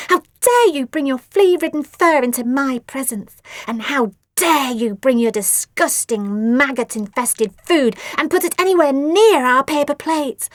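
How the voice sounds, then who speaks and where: A woman voicing a bratty, cruel girl who snarls shrill threats and orders. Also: no echo.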